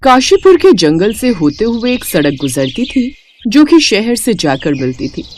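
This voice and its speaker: A woman's voice: mid-range voice, a little mellow, a little hiss or hum. A woman storyteller giving scripted narration for a cartoon moral story.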